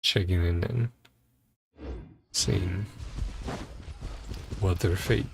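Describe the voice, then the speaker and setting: A man giving casual gameplay commentary as a streamer, hesitant and thinking out loud. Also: sits low, very slow, creaky, noisy background. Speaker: game streamer.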